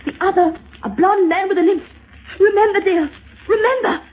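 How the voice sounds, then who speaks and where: Casting: frantic, doomed wife, extremely high theatrical voice, vintage radio thriller, tinny and scratchy sound. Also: female voice, normal speed. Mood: urgent, intense. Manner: fervent, theatrical, short urgent phrases. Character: terrified, doomed young wife saying farewell by phone. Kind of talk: 1930s-40s radio acting, melodrama. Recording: scratchy, tinny old-time radio recording.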